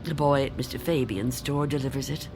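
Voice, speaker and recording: A woman's voice: rumbling low, a little fast, music in the background. An actress playing a frightened, reclusive old woman afraid of death in a radio drama, tremulous and pleading. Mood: fearful.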